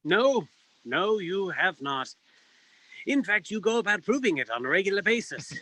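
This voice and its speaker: A man's voice: high-pitched, sing-song, normal speed, pushed and bright, no room sound, heavily acted, poor audio. An actor playing a formal, dryly witty pub owner in a comic detective stage play, fussy and precise in his wording. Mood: deadpan. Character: proper, dryly witty male pub owner, loyal friend. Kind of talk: comic noir stage acting.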